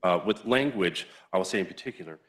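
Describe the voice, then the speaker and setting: A man's voice: deep voice, expressive, fast talker, a bit bright. A man co-presenting at a support group, speaking with casual, conversational asides.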